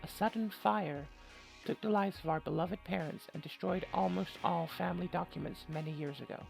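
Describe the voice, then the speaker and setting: A player reads as an earnest, uneasy young woman telling her own mystery story in a visual novel. The voice is very creaky and gravelly, with faint music underneath. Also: medium pitch, normal speed, a little mellow, poor audio. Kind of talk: scripted first-person visual novel narration.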